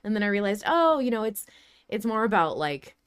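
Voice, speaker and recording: A woman's voice: mid-range voice, very animated, brisk, smooth, no room sound, clean studio sound. A woman hosting a podcast, talking through her thoughts in a casual, reflective, conversational way. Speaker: podcast host.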